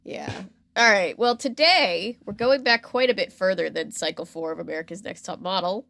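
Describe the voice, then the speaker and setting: A woman chats casually as a podcast host, with playful banter. Her pitch sits in the middle range. The sound is dry, with very little room echo. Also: normal speed, creaky, fairly bright tone. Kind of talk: casual comedic podcast chat. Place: indoor room.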